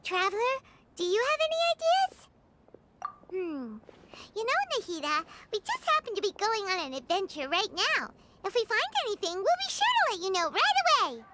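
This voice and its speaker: A woman voicing a small, chatty, excitable female companion creature in a video game, shrill and bouncy. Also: moderate pace, heavy background noise. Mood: chatty, curious. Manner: chatty and eager. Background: game music.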